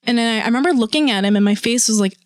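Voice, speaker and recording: A woman telling a story in casual podcast chat, animated and profane, acting out what people said. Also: on the high side, very fast, dry recording, studio quality. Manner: animated, profane, acts out quotes.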